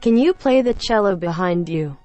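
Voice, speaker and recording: A woman reading out viewer questions one by one in a measured, even way. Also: mid-range voice, soft background music. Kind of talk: flat read-out questions.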